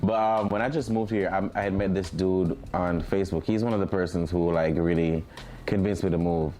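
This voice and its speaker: A man's voice: sits low, steady tone, brisk, warm tone. A man from Jamaica speaking as a podcast guest, telling personal stories in a candid, slangy and profane way. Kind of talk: candid casual storytelling interview.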